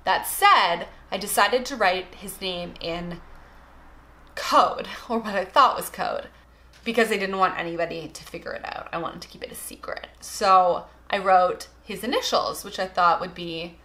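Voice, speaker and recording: A woman's voice: mid-range voice, animated, measured pace, sharp tone. A young adult woman with a Canadian accent, chatting casually and emphatically to her viewers as a vlogger, often trailing off at the ends of phrases. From Toronto, Canada. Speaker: vlogger introducing herself. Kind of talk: casual chat to camera, get-to-know-me answers.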